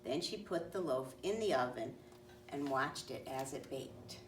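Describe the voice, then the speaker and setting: Low-pitched woman reading a storybook, roomy sound. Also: animated, measured pace. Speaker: storybook reader. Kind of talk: children's read-aloud narration. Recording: roomy, distant mic, faint noise.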